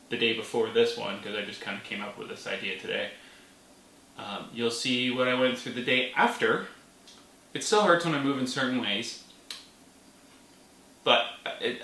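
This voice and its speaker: A person telling a story in a casual vlog manner, animated, with playful exclamations. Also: mid-range voice. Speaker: vlogger. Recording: roomy, distant mic with faint noise.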